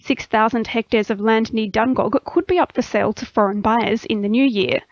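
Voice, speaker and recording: Higher-voiced Australian woman reading radio news. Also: moderate pace, no room sound, dull audio. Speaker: news reader. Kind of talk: scripted radio news bulletin. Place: dry radio studio.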